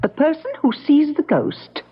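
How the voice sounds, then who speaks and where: An actress playing a brisk, cautioning country estate agent in a radio drama, emphatic and foreboding. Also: female voice, sits high, animated. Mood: ominous, cautioning. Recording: dull lo-fi radio drama recording.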